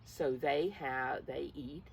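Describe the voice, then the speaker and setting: A woman preschool teacher reading a picture book aloud to young children, expressive and coaxing, often putting questions straight to her listeners. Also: rumbling low, takes it slowly, vocal fry, slight background noise, poor audio.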